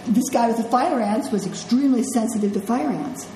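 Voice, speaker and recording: Congested middle-aged woman, nursing instructor, low mellow voice, casual lecture in a roomy classroom. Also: brisk, slight background noise. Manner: conversational, checks in with "okay?" and "right?". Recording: echoey classroom with faint ambience.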